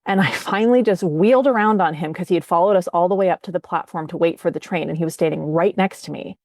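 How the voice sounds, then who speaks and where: Lower-pitched woman telling a personal story. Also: fast talker, darkish tone, no room sound, clean studio sound. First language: English. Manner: emphatic, acts out her own remembered words. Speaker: podcast guest.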